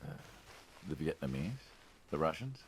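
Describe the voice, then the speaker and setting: A man playing a wry, needling mob hitman in a game, gravelly and probing, like an old friend asking questions. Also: rumbling low, sing-song, normal speed, a little hiss or hum. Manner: wry, probing, drawling sarcasm. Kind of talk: naturalistic video game dialogue.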